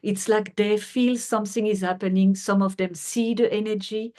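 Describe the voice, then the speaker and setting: A middle-aged woman from France, speaking English with a French accent as an interview guest, earnest and unhurried with frequent fillers. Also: medium pitch, fairly even intonation, mellow. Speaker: guest, healer. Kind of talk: conversational interview.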